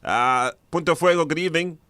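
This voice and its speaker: A man's voice: on the high side, fairly quick, pushed and bright, clean tone, dry recording. A man hosting a radio call-in show in an informal, encouraging, conversational way. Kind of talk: casual live call-in hosting.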